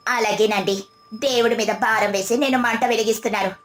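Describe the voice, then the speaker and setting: A woman voicing a brave, practical, devout village daughter-in-law in an animated moral story, speaking encouragingly. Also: a little high, fairly quick, faint music behind, natural voice. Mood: careful, reassuring.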